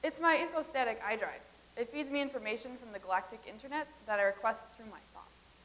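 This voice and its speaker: A woman's voice: on the high side, quick pace, a bit bright, slight background noise, close to an everyday voice, low-quality recording. A woman in a staged reading playing an earnest, formal rebel from the future. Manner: earnest, formal and matter-of-fact.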